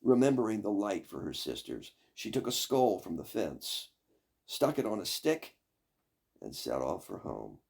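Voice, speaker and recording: An older man reading a fairy tale as a storyteller, dramatic and deliberate in his narration. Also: lowish voice, wildly swooping pitch, gravelly, darkish tone, slightly rough.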